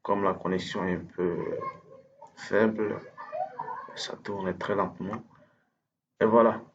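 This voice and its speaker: A man with French as his first language, giving a hesitant, step-by-step software tutorial over a screen recording, full of fillers and restarts. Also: low voice, fairly even intonation, slow, dull audio, noisy background. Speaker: instructor.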